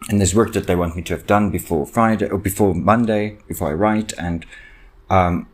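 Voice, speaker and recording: A young man with a very deep voice, a native Afrikaans speaker, talks through his worries on a solo podcast. He rambles and halts, restarting sentences and filling gaps with ums, sounding anxious and inward-looking. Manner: anxious, self-reflective. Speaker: podcast host. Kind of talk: solo personal podcast rant.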